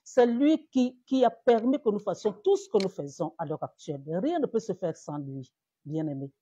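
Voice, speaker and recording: A woman's voice: mid-range voice, expressive, moderate pace, warm tone, slightly rough, dry recording, highs cut off. A woman pastor from Cameroon preaching in a live-streamed sermon, repeating words and rising to emphatic peaks.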